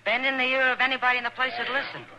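A woman playing a shrewd, teasing saloon hostess in a 1950s radio western, brisk and knowing. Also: sits high, flat delivery, crowd noise. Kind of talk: 1950s radio drama acting. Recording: noisy 1950s radio broadcast.

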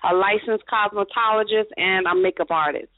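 A woman's voice: mid-range voice, steady tone, brisk, no echo. Woman panelist over a dry phone line. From Portsmouth, Virginia, United States. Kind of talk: casual talk-show panel discussion. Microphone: phone conference call. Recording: dry narrow phone-like sound.

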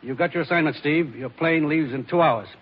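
An actor playing a grave, businesslike commissioner in a 1940s radio drama, speaking with an American accent in a measured, authoritative way. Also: male voice, on the high side, big-room sound, lightly acted. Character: grave, businesslike male commissioner briefing his agent. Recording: muffled 1940s radio recording.

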